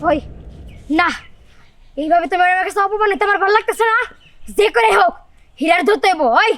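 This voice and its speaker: A woman's voice: very high-pitched, fast, a bit bright, no room sound. A woman voicing a hot-tempered, violent henchwoman in a cartoon drama. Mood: agitated, angry.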